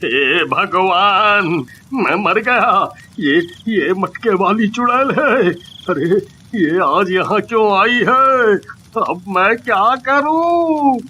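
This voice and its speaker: A man voicing a terrified, cowardly merchant in an animated moral story, stammering and pleading for rescue. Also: sits high, drawn-out words, a little hiss or hum, heavily acted.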